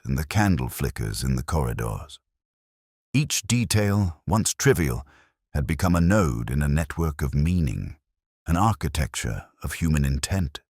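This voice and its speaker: A man reading a scripted first-person detective story in a measured, formal, reflective manner. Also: extremely low pitch, no echo, studio quality. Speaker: audiobook-style story narrator.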